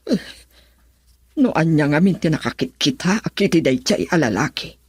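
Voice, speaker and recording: A woman's voice: low voice, expressive, normal speed, a little mellow, husky, no echo, clean studio sound, full character voice. An actress playing a proud, guarded young woman in an Ilocano radio drama. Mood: brooding.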